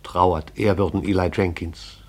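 A man narrating a radio play in a measured, poetic storytelling manner. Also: low voice, fairly even intonation, darkish tone.

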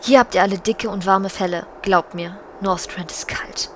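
A woman's voice: mid-range voice, normal speed, a bit bright, slight background noise, natural voice. A woman playing a regal, solemn, commanding undead banshee queen in an audio drama, speaking in a stately, formal way. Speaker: voice actor in a parody audio drama.

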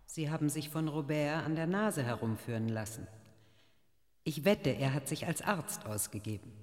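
An actress playing a stern, brusque clinic doctor in a radio drama, scolding and commanding. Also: female voice, low voice, expressive, moderate pace, darkish tone, big-room sound. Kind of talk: German radio drama acting.

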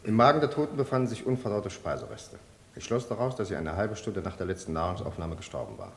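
An actor playing an experienced, formal medical examiner giving exact clinical testimony in a German radio crime drama. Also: male voice, sits low, big pitch swings, quick pace, vocal fry, full character voice. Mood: matter-of-fact. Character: experienced medical examiner testifying, precise, matter-of-fact man. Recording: echoey courtroom sound, faint noise.

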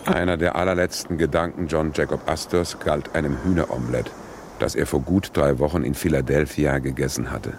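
An actor playing a witness-narrator in a radio play, dry and deadpan, in standard German. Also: male voice, a bass voice, fairly quick, slight background noise. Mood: dry, grave. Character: dry, deep-voiced male witness-narrator.

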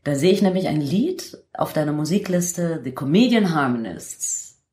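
A woman's voice: low-pitched, lively intonation, normal speed, mellow, slight background noise. A woman speaking as a radio show host in a conversational interview.

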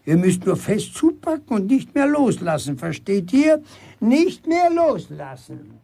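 A gruff old grandfather from a radio play, husky and creaky. He takes his time, with a lively swing in his pitch, bossy yet fond and teasing. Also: measured pace, exaggerated voice. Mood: brisk, commanding. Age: older. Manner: commanding, teasing, tender with his horse. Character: gruff, affectionate old grandfather with a runaway horse. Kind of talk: German radio drama acting.

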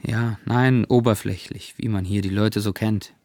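An actor playing a polite young gentleman, secretly infatuated, who speaks with hesitant, over-eager courtesy in a radio drama. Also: lowish voice, steady tone, measured pace, no echo, studio quality. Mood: fascinated.

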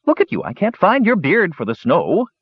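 A high, bubbly young kender full of mischief. He teases with a lively, sing-song bounce. The recording is dry and a touch dull. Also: moderate pace, husky, no room sound, exaggerated voice. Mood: teasing. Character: young excitable male kender, impish and cheerful.

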